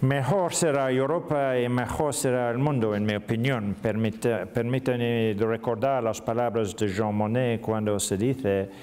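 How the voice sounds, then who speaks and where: A middle-aged British man, a native English speaker, giving a formal conference speech in a second language with a British accent and often pausing on fillers. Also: mid-range voice, steady tone, darkish tone. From Britain.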